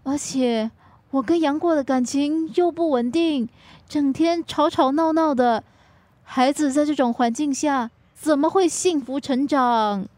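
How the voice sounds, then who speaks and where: A woman's voice: high voice, a little slow, darkish tone, dry recording. A woman playing a troubled, resigned young wife in a radio drama, speaking plaintively and earnestly. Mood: anxious, resigned.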